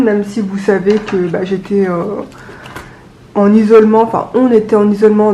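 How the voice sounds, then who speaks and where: A young adult woman with a cold and a stuffy nose, chatting casually to camera, with many hesitant pauses. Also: mid-range voice, dark tone, reverberant, slight background noise. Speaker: budgeting vlogger.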